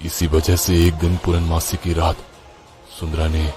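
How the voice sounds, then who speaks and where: Low-voiced man narrating a horror story. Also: completely flat delivery, a little slow, music in the background. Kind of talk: dramatic first-person horror narration.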